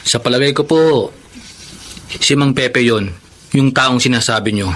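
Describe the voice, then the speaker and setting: A man's voice: mid-range voice, measured pace, fairly bright tone, close to an everyday voice. Polite young male teacher voiced by an actor, Filipino radio drama, considerate, mid-range, slightly noisy recording. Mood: concerned, apologetic. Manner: polite, helpful, respectful.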